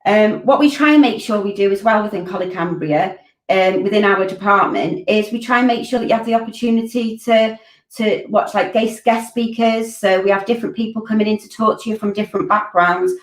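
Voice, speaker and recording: A woman's voice: mid-range voice, brisk. A woman in her forties hosting a college Q&A stream from home, answering viewer questions informally with lots of ums and restarts on a laptop webcam. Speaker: college curriculum director hosting a Q&A. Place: home room, indoors. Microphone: laptop webcam on a video call. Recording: roomy laptop mic from a distance.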